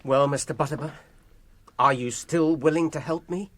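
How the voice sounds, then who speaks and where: A British actor playing an earnest, wary hobbit gentleman in a fantasy radio drama, well-spoken, polite and hesitant. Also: fairly high pitch, normal speed. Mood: uneasy, earnest.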